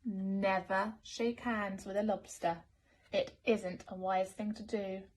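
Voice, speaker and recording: A woman reading a rhyming picture book to children as a teacher, expressive and sing-song. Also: mid-range voice, slow pace, rough recording.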